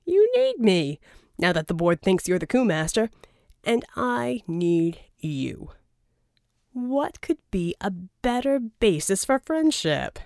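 A woman's voice: mid-range voice, huge pitch swings, takes it slowly, creaky, a little mellow, dry recording, studio quality, full character voice. Woman performing a flamboyant scheming male villain, wildly swooping. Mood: wheedling. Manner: theatrical, wheedling, pompous. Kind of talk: audiobook character dialogue.

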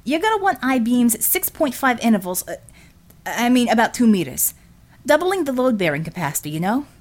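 A woman's voice: on the high side, sing-song, brisk. Woman doing a folksy American union man. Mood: confident. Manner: folksy, colloquial, blunt shop talk. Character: heavy-set, plain-spoken American male union contractor.

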